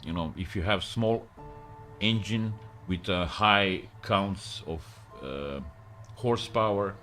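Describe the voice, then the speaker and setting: Deep slow man with Croatian accent. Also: bright, forceful tone, soft background music. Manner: rambling, many filler pauses, broken English grammar. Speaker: livestreamer. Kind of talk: casual live-stream chat with viewers.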